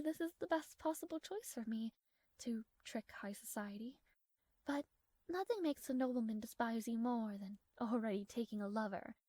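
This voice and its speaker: A woman's voice: high-pitched, warm tone, dry recording. A woman playing a proud, strong-willed young princess in a romantic audio roleplay, speaking formally with flustered hesitations. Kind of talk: scripted romantic audio roleplay addressed to the listener.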